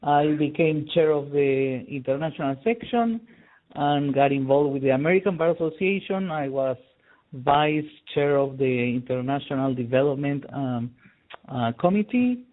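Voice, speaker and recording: A man's voice: on the high side, animated, moderate pace. Man on a phone line. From Peru. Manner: hesitant, many repeated words and fillers. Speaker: guest expert on conflict resolution. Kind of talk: phone interview, casual explanation.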